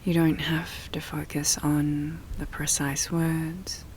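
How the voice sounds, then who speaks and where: Very slow, soft-spoken woman guiding meditation. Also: sits low, flat delivery, a little hiss or hum. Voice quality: soft, breathy, often near-whisper. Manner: slow, soothing, with long pauses. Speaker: yoga nidra meditation guide. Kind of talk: guided relaxation meditation.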